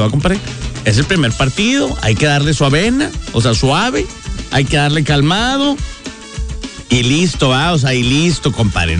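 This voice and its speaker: A man with a Monterrey accent hosting a radio show, teasing callers in slangy banter. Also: on the high side. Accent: northern Mexican, Monterrey. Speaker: radio host. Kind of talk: casual morning-radio banter. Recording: music bed playing behind.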